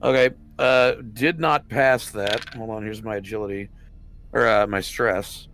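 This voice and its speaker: A man's voice: fairly low pitch, steady tone, a little slow, fairly bright tone, faint music behind. A man playing a tabletop roleplaying game, chatting casually with the group.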